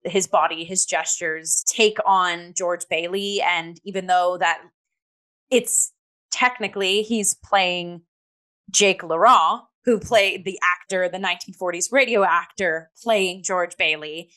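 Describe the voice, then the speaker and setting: A woman's voice: lowish voice, takes it slowly, bright, forceful tone. Effusive young woman chatting theatre. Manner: effusive, emphatic, conversational with many likes. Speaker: theatre review podcast host. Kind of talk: casual theatre review chat.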